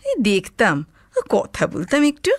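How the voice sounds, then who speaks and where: An actress playing a composed, inquisitive private detective in a Bengali audio story, with probing, polite questions. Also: female voice, mid-range voice, huge pitch swings, a little slow, no room sound, clean studio sound, heavily acted. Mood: curious, insistent.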